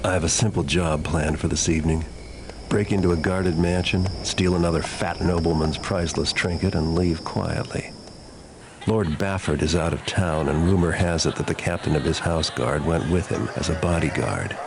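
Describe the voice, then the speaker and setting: Very low-voiced, cynical thief. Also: male voice, steady tone, noisy background. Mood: cool, calculating. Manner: dry, understated, deliberate. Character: cynical, world-weary master thief, adult man. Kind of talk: scripted noir-style game narration. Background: loud music.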